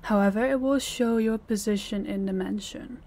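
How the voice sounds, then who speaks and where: A woman playing a dominant, cold mafia boss in a roleplay audio, speaking to the listener in a deliberate, condescending, commanding way. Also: medium pitch, mellow, natural voice. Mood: cool, commanding.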